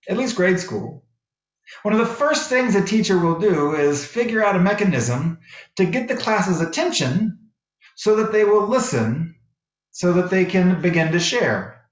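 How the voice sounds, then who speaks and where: American minister preaching, lively swooping pitch. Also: male voice. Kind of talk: sermon with personal storytelling. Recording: roomy, echoey, slightly dull sound.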